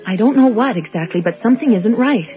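An actress playing an earnest, suspicious young wife and dental assistant, speaking insistently in a radio drama. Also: female voice, fairly high pitch, animated, fast talker, faint music behind, natural voice. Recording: dull lo-fi old radio broadcast.

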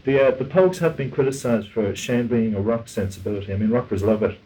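An Irish man interviewing in a conversational style, eager and quick to interject. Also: mid-range voice, warm tone, a little hiss or hum, rough recording.

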